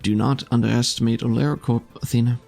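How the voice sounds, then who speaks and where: A man playing a cool, guarded female casino boss in a tabletop roleplaying game, measured and grave. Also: mid-range voice, fairly even intonation, vocal fry, darkish tone, faint music behind.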